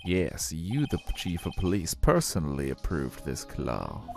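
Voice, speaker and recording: A man playing a stern, precise prosecutor in a video game, speaking in a formal, measured manner. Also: deep voice, huge pitch swings, vocal fry, soft background music, heavily acted. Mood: accusing.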